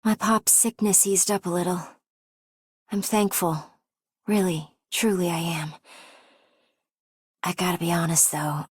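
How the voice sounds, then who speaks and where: A dutiful young female bodyguard for a video game. Her voice is slightly low and breathy-husky, in a dry recording. She is plainspoken, sincere and humble. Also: fairly even intonation, measured pace, pauses often, no room sound. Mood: grateful, earnest. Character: humble, dutiful young woman bodyguard, earnest, self-doubting. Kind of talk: game character voice acting.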